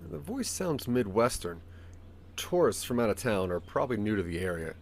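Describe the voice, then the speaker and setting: A man's voice: fairly low pitch, very animated, moderate pace, a little hiss or hum. A man narrating a scripted audio drama in the second person, with a dramatic storytelling manner.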